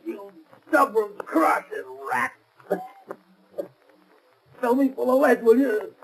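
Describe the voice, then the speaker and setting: A man's voice: very high voice, takes it slowly, exaggerated voice. A man acting a bitter, wounded gangster henchman in a 1930s radio drama, gasping slangy tough-guy talk in pain. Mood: bitter, vengeful. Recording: noisy old 1930s radio broadcast.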